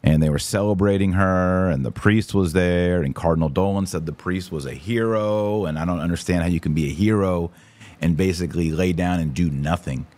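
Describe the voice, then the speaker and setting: Male podcast host, low register, informal and opinionated, casual livestream delivery. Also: brisk, a little mellow, no room sound. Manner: informal, opinionated, emphatic, slangy. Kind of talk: casual livestream commentary, answering viewer comments.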